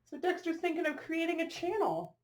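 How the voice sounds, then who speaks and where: A woman reading a storybook aloud to young children, expressively drawing out phrases and pausing to ask them questions. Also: very high voice, very animated. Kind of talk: storybook read-aloud with asides to young listeners.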